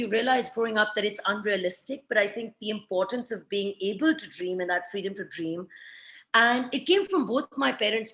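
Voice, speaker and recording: Author guest: woman from Pakistan, thoughtful, measured, slightly high-pitched. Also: a bit bright, poor audio. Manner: thoughtful, reflective, with many "you know" fillers. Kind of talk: conversational interview.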